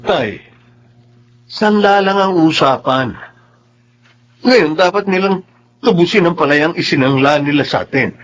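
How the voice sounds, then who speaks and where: An actor with a Filipino accent playing a boastful, hard-nosed newly rich son in a radio drama, with a brash, domineering manner. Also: male voice, fairly high pitch, lively intonation, unhurried, long pauses, slight background noise. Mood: stern, impatient.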